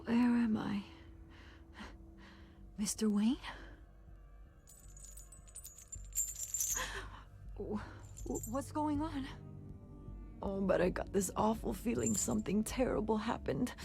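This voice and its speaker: A slow, gravelly, distraught policewoman. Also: fairly high pitch, warm tone. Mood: shocked, dazed. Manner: halting, earnest. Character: distraught, dazed policewoman, remorseful and pleading. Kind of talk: video game drama acting. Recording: music behind the voice.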